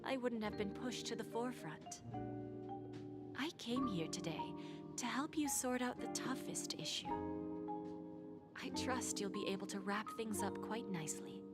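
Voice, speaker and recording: Woman acting a silky, knowing game financier over loud music. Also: a little high, unhurried, pauses often, natural voice. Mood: calm, knowing. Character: poised, enigmatic woman financier and pawnshop boss.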